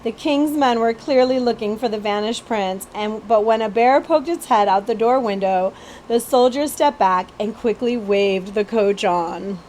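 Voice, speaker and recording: A woman narrates a children's book in a slightly high voice. Her delivery is expressive, with the odd stumble, and there is faint noise behind her. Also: unhurried, rough recording. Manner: animated storytelling with occasional stumbles and asides. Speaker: librarian reading a children's book aloud.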